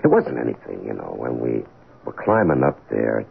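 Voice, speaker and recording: A sheepish husband in an old radio mystery. His voice is extremely low and gravelly, and he halts as he excuses himself. It sounds thin, like an old broadcast. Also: huge pitch swings, full character voice. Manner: halting, self-excusing. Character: sheepish, hesitant husband confessing to his wife. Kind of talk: 1970s radio mystery acting. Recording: narrow-band old radio, faint noise.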